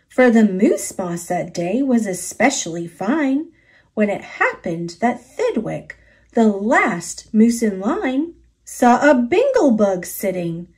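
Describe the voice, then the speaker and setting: A woman with an American accent narrating a rhyming children's story aloud, expressively and with deliberate enunciation. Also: on the high side, a little mellow.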